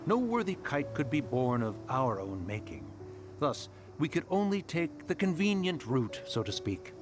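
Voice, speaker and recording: A man's voice: medium pitch, very animated, unhurried. A man playing a courteous, lofty ancient immortal in a fantasy game, with ornate, formal phrasing. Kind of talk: fantasy game cutscene acting. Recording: music behind.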